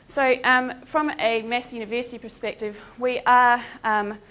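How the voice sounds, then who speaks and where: A woman's voice: a little high, fairly even intonation, normal speed, a bit bright. An Australian woman with a New Zealand-tinged accent giving a chatty, self-deprecating conference talk with frequent ums. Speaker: conference speaker, veterinary emergency team member. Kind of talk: conference presentation.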